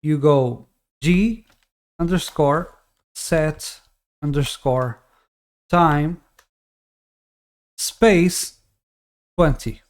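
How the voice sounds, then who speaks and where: Man, Portuguese native speaker, slightly high pitch, casual tutorial, lots of ums and restarts. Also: very slow, long pauses. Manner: relaxed, rambling, frequent fillers and restarts. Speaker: tutorial host. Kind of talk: casual screen-recorded game tutorial.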